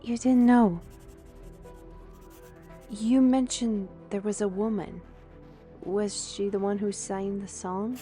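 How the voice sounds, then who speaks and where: Gentle, steady woman artisan mage played by an actress. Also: mid-range voice, lightly acted. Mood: gentle, reassuring. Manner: reassuring, deliberate. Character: gentle, steady female magical artisan questioning a victim. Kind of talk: audio drama acting. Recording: music under the voice.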